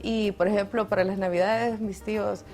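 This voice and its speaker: A woman's voice: medium pitch, fairly even intonation, normal speed, darkish tone, soft background music. Chatty Salvadoran woman guest. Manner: chatty, laughs often, restarts words. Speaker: guest, mountaineer. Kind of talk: casual interview chat.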